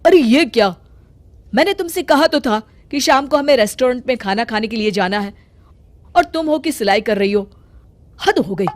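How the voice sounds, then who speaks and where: A woman's voice: fairly high pitch, expressive, moderate pace, slight background noise, lightly acted. A woman voicing a young adult son who works in an office, complaining and pleading in a cartoon story. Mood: annoyed.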